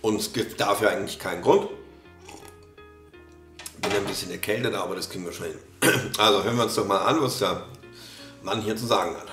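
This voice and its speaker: A man's voice: lowish voice, brisk, long pauses, fairly bright tone, reverberant, faint music behind. A man in his thirties with a slight cold, commenting on a video casually, with many fillers and restarts. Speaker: reaction video host. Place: small room at a desk.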